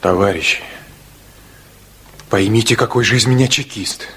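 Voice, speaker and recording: A man's voice: lowish voice, moderate pace, fairly bright tone, close to an everyday voice. An earnest young student, played by a man, speaks in short, uneasy phrases. He holds his feelings back in the manner of 1960s radio acting. The old recording carries faint noise. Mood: troubled, reluctant. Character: earnest, troubled nineteen-year-old student recruited as a security officer.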